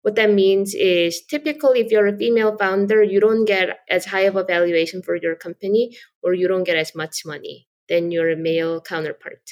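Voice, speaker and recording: A woman's voice: medium pitch, a little fast, hardly any pauses. A woman from South Korea, a startup founder, giving earnest, explanatory answers as a podcast guest. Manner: earnest and explanatory, with repeated "absolutely" and "so".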